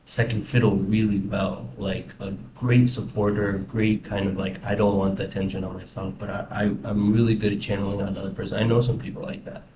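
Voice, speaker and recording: A young man with an American accent talking casually and reflectively in a rambling personal video diary. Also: lowish voice. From United States. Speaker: vlogger. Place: indoors in a house. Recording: roomy echo, faint background noise.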